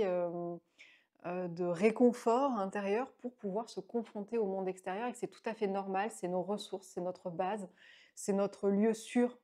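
Chatty woman in her thirties, mellow voice. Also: mid-range voice. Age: 30s. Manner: chatty, many fillers and restarts. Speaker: book vlogger. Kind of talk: casual book review to camera. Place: small indoor room at home. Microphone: lapel mic.

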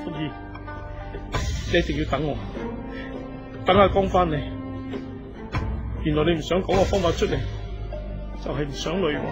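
Radio drama lead: male actor as earnest, lovestruck younger brother, Hong Kong Cantonese, lightish pitch, emotional. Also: moderate pace, long pauses, music in the background, natural voice. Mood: tender, grieving.

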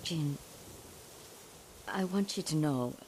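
A woman's voice: sits low, expressive, slow, a little mellow, slight background noise, heavily acted. Grave older samurai noblewoman. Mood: grave, resolute. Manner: grave, commanding. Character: stern grieving older samurai noblewoman seeking vengeance. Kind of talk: dramatic video game acting.

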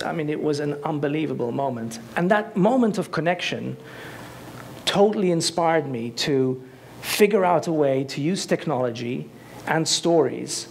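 A man's voice: a little high, gravelly, slight background noise. Eager man giving a stage talk. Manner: enthusiastic, conversational, gestures with both hands. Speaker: conference speaker. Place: dark stage in a large auditorium. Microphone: headset.

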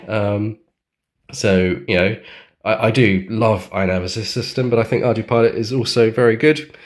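Low-voiced chatty man showing his model plane. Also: a bit bright. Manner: chatty, many ums and restarts, self-deprecating. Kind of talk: casual show-and-tell walkthrough. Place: indoors at a home dining table.

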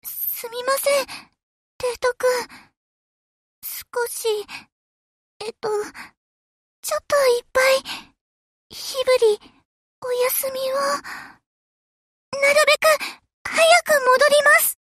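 An extremely high, childlike little warship girl from an anime game. She speaks slowly and politely, with a sweet, earnest eagerness to serve and a touch of hesitation. The recording is close and dry. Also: fairly even intonation, a bit bright, no echo, exaggerated voice. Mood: shy, hesitant. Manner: polite, dutiful, slightly hesitant. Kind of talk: Japanese anime game voice lines.

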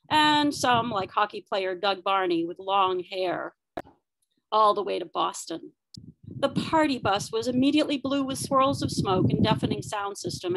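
A woman's voice: mid-range voice, fairly bright tone, dry recording, poor audio. A woman reading her narrative poetry aloud at an online open mic.

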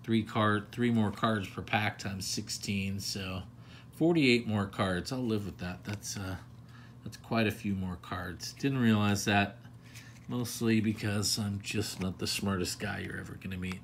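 Chatty low-voiced man opening card packs. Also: steady tone, measured pace, slight background noise. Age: older adult. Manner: chatty, self-deprecating, rambling asides. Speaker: card collector channel host. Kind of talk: casual pack-opening commentary. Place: indoors at a table.

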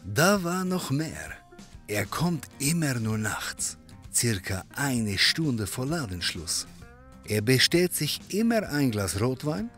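An actor playing a friendly European barkeeper in a comedy audio drama, easygoing and confiding in manner. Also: male voice, mid-range voice, a bit bright. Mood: helpful. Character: friendly European barkeeper, helpful male pub owner.

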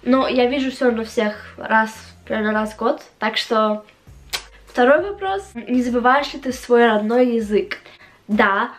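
A young woman with a fairly high-pitched voice talks casually to her camera in a small room at home. Her Russian carries an English-influenced accent. She speaks in a halting way, searching for words as she answers questions as a vlogger. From Latvia. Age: young adult.

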